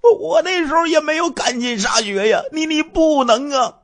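A man's voice: extremely high pitch, normal speed, fairly bright tone, voice pushed up. A man voicing a terrified middle-aged man who stammers and begs for his family's lives. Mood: pleading, terrified. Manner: stammering, pleading.

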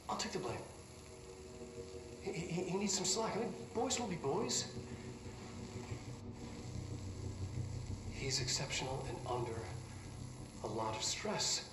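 A man playing a nervous, excitable research scientist in a game, rambling and halting as he justifies himself. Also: mid-range voice, close to an everyday voice. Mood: defensive, pleading. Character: nervous, excitable male research scientist defending his prized subject. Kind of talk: video game drama acting. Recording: distant, echoey, noisy recording.

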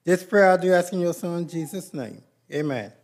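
A man leading the Lord's Supper and prayers in a large church sanctuary, speaking with a slow, measured, reverent cadence into a pulpit microphone. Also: high-pitched, a little mellow.

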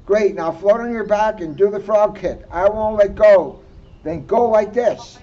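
A man's voice: sits high, measured pace, mellow, pitched above the performer's own voice. A man voicing a patient, encouraging mother frog who coaxes and instructs, in a storybook. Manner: coaxing, instructive. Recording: muffled outdoor recording, background noise.

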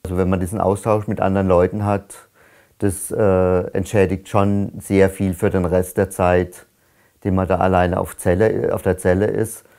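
A man's voice: low-pitched, little pitch movement, moderate pace, creaky, dark tone. A man in his sixties in a recorded interview, speaking reflectively and without hurry. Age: 60s. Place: dim indoor set.

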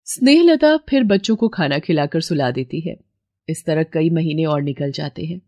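A woman narrating a scripted moral story in a steady storytelling manner. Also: low voice, moderate pace, dry recording.

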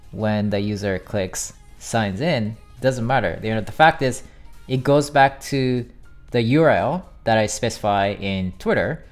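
Young man musing aloud over code. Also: mid-range voice. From Japan. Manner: thinks aloud with many fillers. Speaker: YouTube developer vlogger. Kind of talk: casual vlog narration. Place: home desk.

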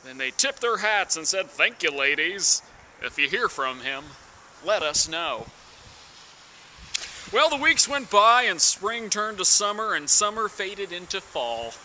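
Young man, theatrical dramatic reading, very sharp bright voice, deliberate pauses, slightly noisy outdoor recording. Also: high-pitched, sing-song. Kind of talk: mock-serious dramatic reading of song lyrics. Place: outdoors in snowy woods.

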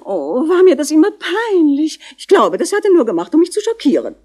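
A woman's voice: high voice, huge pitch swings, brisk, a little mellow. An actress playing a prim, snobbish, easily shocked mature mother in a 1960s German radio crime play, with an affected, over-refined manner. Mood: snobbish, indignant. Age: middle-aged or older.

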